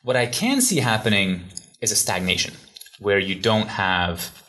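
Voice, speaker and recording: Man from Hungary, low voice, thoughtful, restarts sentences, interview guest. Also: sing-song, a little slow, sharp tone. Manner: thoughtful, with frequent restarts and fillers. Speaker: guest expert on the EU. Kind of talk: conversational interview answers.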